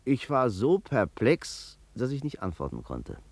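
An actor playing a nervous, talkative barber in a 1950s radio crime play, with a chatty, colloquial manner. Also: male voice, medium pitch, huge pitch swings, unhurried, gravelly, darkish tone, breathy edge, dry recording, full character voice. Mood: uneasy recounting. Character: nervous, talkative Berlin barber, afraid of the courts. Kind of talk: 1950s German radio play acting, first-person narration.

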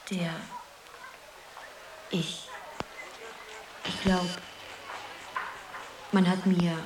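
An actress playing a dreamy, wistful young woman who muses haltingly in a poetic radio drama. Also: medium pitch, noisy background, natural voice. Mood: unsettled, halting. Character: Zoe, dreamy young woman drifting through a surreal world.

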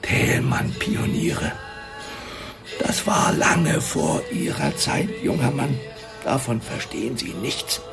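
An actor playing a chatty, secretive pensioner in his sixties in a radio drama, halting and confiding. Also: mid-range voice, animated, creaky, over music. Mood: guarded, grave. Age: 60s. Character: chatty, secretive old pensioner, gossipy neighbour. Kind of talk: naturalistic radio drama dialogue.

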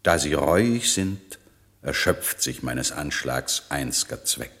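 A very deep, forceful sorcerer lord. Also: male voice, animated, heavily acted. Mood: grave, reflective. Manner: solemn, measured, declamatory. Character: stern aging sorcerer lord, commanding yet turning to forgiveness. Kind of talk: classic German radio play acting.

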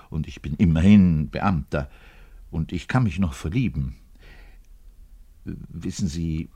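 Bitter middle-aged orchestra musician in a radio play, low husky voice, pedantic rambling, swings all over from mutter to rant. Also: male voice, warm tone, exaggerated voice. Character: embittered, self-pitying middle-aged orchestra double bassist, lonely civil servant. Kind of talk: theatrical radio play monologue, confiding to a listener.

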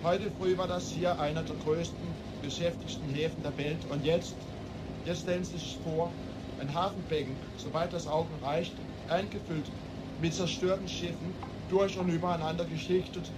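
An actor playing a shaken Danish radio reporter giving a halting, vivid live report in a radio drama. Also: male voice, fairly high pitch, steady tone, close to an everyday voice. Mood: shaken, urgent. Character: shaken Danish radio reporter surveying a devastated burning harbour. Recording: very loud vehicle noise behind.

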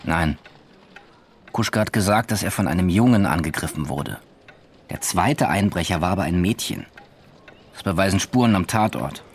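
A man's voice: sits low, animated, normal speed, long pauses, slight background noise. A man playing a careful junior police detective in a radio drama, reporting in a factual, reserved way. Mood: careful, deferential. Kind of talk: German radio drama acting.

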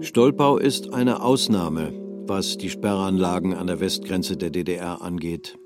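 A man narrating a literary radio play in a measured, even way. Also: low voice, music in the background.

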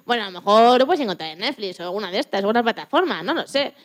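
A woman from playing a lively, joking retired Antarctic scientist in her eighties in a radio interview, often restarting her sentences. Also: a little high, lightly acted. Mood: animated, reflective. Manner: chatty, joking, halting with restarts. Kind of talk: scripted mock radio interview.

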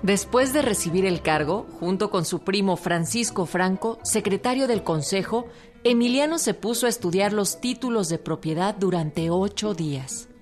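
A woman delivers scripted narration for a radio history drama. Her pitch is medium, set over clearly audible music. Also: normal speed.

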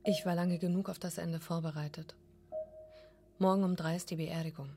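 A woman's voice: lowish voice, normal speed, noisy background, lightly acted. An actress playing a grieving, composed and resigned woman in a radio drama, speaking in a subdued manner. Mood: numb, resigned. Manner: flat, subdued.

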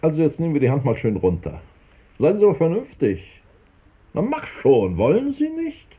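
An actor playing a pedantic, easily exasperated doctor who scolds and commands in a radio play. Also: male voice, a little high, wildly swooping pitch, normal speed, dark tone, breathy edge, heavily acted. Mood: impatient. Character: exasperated pedantic male doctor, quickly indignant. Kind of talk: German radio play acting.

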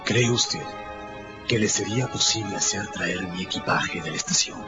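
A man's voice: lowish voice, normal speed, lightly acted. An actor playing an irritable, secretive male stranger in a radio drama, curt, formal and imperious. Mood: curt, tense. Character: secretive, irritable male stranger, a bandaged researcher seeking solitude. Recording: muffled radio drama with music underneath.

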